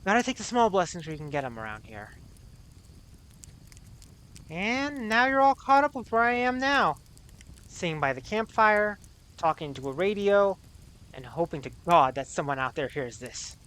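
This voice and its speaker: A man's voice: high voice, very animated, normal speed, long pauses, fairly bright tone, smooth, poor audio. A man playing a stranded American auto mechanic in an audio drama, giving a wry, weary, rambling monologue as a radio broadcast. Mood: resigned, hopeful. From United States. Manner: wry, sardonic, rambling, profane.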